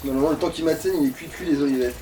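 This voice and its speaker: High-pitched, sweary man gaming, distant mic. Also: racing, dark tone. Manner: sweary, grumbling, slangy. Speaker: let's play streamer playing the game. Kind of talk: casual gaming commentary.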